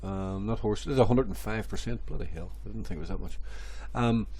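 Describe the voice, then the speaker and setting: A man, hoarse and stuffy from a cold, rambling through crypto chart analysis with many repeats and fillers. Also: lowish voice, fairly quick, warm tone. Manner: rambling, repeats phrases, many ums and restarts. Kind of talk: casual screen-share market commentary.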